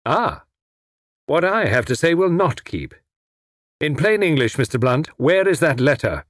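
Man playing a suave, menacing foreign spy, pitched up. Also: expressive, moderate pace, no room sound, clean studio sound, lightly acted. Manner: mockingly polite, formal, snarling when crossed. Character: suave, menacing well-educated foreign spy posing as inspector.

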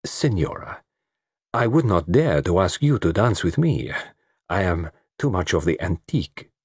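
A man voicing a gallant, shrewd middle-aged detective with a French accent, speaking in courteous, measured, formal phrases in an audiobook story. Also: low voice, big pitch swings, a little mellow, husky, no echo, clean studio sound, heavily acted.

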